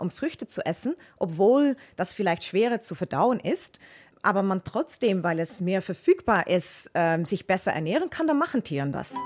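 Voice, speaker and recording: Dutch accented woman, animal expert, medium pitch, explaining stuff simply and straight. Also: darkish tone. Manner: plain-spoken, matter-of-fact. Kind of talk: explaining to children in an interview.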